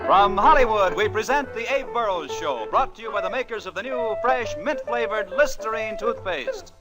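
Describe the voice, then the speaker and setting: A man's voice: high voice, animated, normal speed, poor audio. A man announcing a show and its sponsor in 1940s radio style, on a vintage broadcast recording. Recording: vintage 1940s radio, loud music behind. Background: loud music.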